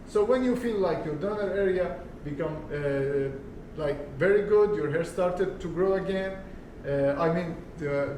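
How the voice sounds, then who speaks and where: A man whose first language is Arabic, explaining a hair care product in English, halting, with many fillers and restarts. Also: high voice, darkish tone, slight background noise. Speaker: hair transplant consultant. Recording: echoey room, voice from far off.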